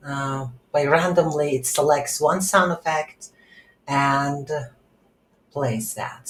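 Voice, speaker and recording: A woman with a low voice talks slowly through a coding tutorial. She thinks aloud in halting pieces as she works. The room adds noticeable echo to her words. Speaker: tutorial instructor. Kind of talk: unscripted software tutorial narrated while coding. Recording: roomy echo, faint background noise.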